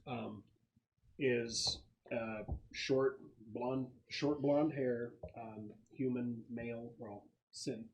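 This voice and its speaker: A man running a tabletop game as game master, narrating scenes casually in halting, descriptive phrases. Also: mid-range voice, steady tone. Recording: muffled, noisy room recording with clicks.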